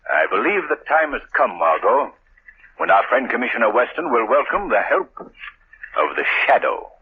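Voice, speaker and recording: A suave, assured gentleman. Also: medium pitch, sing-song, slightly rough. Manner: measured, assured. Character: suave wealthy man, calm and confident. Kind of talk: 1930s radio drama acting. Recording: tinny old radio broadcast with hiss.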